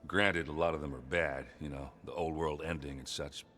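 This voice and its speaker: A big-hearted, gruff warrior in a cinematic game scene. His voice is very low. He talks bluntly and folksily, rambling into self-deprecating jokes with a natural, unpolished delivery. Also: steady tone, moderate pace, fairly bright tone. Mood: sheepish, earnest. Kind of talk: naturalistic video game cutscene acting.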